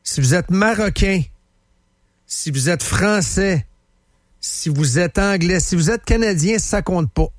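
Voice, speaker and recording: A Quebec radio host with a slightly raised pitch, chatty and emphatic, who often repeats his points. The studio sound is dry and close. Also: slow, no room sound. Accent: Quebec French. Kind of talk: casual radio talk.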